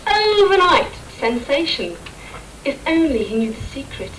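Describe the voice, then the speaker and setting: Female pantomime narrator, highish voice, measured rhyming storytelling, distant and noisy amateur stage recording. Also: big pitch swings, a bit bright.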